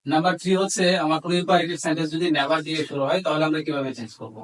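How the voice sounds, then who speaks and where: Male teacher, Bangladeshi accent, slightly high voice, methodical step-by-step explanation with examples dictated word by word. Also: steady tone, very fast, rough recording. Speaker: English grammar teacher. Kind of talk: instructional lesson mixing Bengali explanation with English examples.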